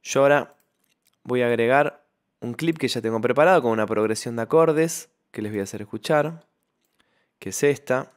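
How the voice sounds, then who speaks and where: Mid-pitched man narrating a software tutorial. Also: moderate pace. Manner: clear step-by-step explaining. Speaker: tutorial presenter. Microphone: close microphone voice-over.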